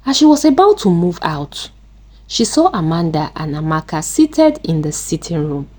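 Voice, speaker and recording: A woman narrating a scripted folktale in a deliberate, dramatic way. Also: lowish voice, big pitch swings, a little mellow. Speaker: storyteller.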